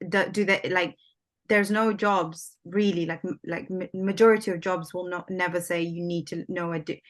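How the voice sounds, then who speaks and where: Swahili-speaking woman, low-pitched and chatty. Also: fairly bright tone. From Somalia. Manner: chatty, many fillers like "like" and "um". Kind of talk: casual conversation.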